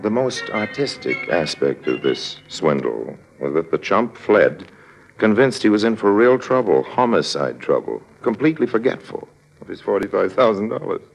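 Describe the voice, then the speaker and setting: A man's voice: on the low side, big pitch swings, normal speed, gravelly, faint music behind, rough recording. An actor playing a suave, wry swindler who narrates his own con in a confiding 1950s radio drama style. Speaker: narrator and lead character. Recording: old radio recording with crowd noise.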